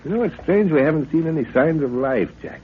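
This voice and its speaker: A man's voice: fairly high pitch, animated, brisk, slightly rough. A man playing a middle-aged professor and father, hearty and commanding, leading an expedition in a 1930s radio adventure. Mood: musing, assured. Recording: tinny, noisy 1930s radio recording.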